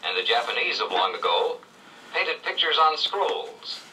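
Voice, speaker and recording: Very bright, animated male educational narrator. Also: medium pitch, distant mic, poor audio. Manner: measured storytelling with clear phrasing. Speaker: read-along narrator. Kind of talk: scripted 1970s educational narration. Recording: old read-along played back from afar, faint noise.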